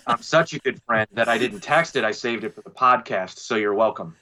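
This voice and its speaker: A man co-hosting a podcast over a call, telling stories with animated, joking, mock-indignant banter. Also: medium pitch, brisk, a little hiss or hum. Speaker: podcast co-host. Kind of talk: casual podcast chat. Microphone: remote call mic.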